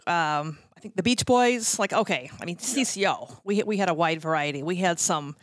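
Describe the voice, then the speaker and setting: A woman's voice: on the low side, normal speed, no echo. A middle-aged woman, chatting casually as an interview guest and drifting into asides as she shares anecdotes. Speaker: guest, former radio promotions worker.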